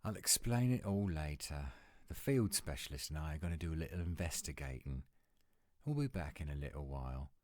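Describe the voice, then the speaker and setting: A man's voice: rumbling low, expressive, fairly quick, darkish tone, no room sound. A man voicing a grumpy, exasperated British werewolf in a scripted audio roleplay, curt and scolding, with a British accent. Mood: stern, explaining.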